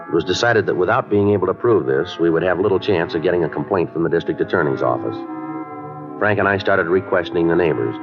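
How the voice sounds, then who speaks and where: An actor playing a terse, businesslike police detective in a 1950s radio drama, speaking in a deadpan, clipped, matter-of-fact way. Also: male voice, sits low, natural voice. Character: terse, businesslike male police detective, narrating his cases. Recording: dull old radio recording.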